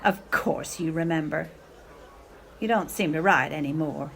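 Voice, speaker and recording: A woman's voice: sits low, measured pace, breathy edge, exaggerated voice. A woman acting a melodramatic, self-pitying, clinging middle-aged mother in a radio play, with theatrical, affected speech. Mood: doting, wistful. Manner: theatrical, affected, sprinkles in foreign phrases.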